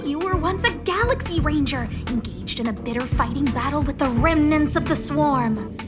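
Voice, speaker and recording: A woman's voice: high-pitched, lively intonation, normal speed, loud music behind the voice. A woman playing an earnest, theatrical teller of heroic space legends in a video game.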